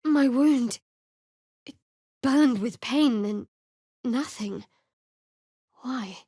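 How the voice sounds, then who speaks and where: An actress voicing a guarded, sardonic young woman cleric in a video game, with a dry, wry and measured delivery. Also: a little high, warm tone, husky, no room sound, heavily acted. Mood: troubled, earnest. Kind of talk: video game character voice acting.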